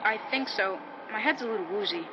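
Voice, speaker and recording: A woman's voice: medium pitch, a little fast, natural voice. A woman playing a polite, earnest and easily frightened young boy scout in a vintage radio drama. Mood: woozy, earnest. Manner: earnest, boyish, naive. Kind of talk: 1950s radio drama acting. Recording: muffled vintage radio sound, loud noise bed.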